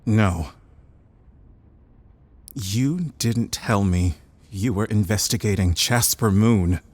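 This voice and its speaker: An actor playing a defensive, suspicious paleontologist in an audio drama, with a curt, sarcastic, indignant way of talking. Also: male voice, on the low side, takes it slowly, a little mellow. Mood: cold, shaken.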